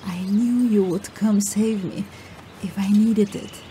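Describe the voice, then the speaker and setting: A woman's voice: medium pitch, steady tone, slow, dark tone, slight background noise, close to an everyday voice. An actress playing a brave, fond middle-aged warrior mother in a fantasy audio drama. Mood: weak, fond.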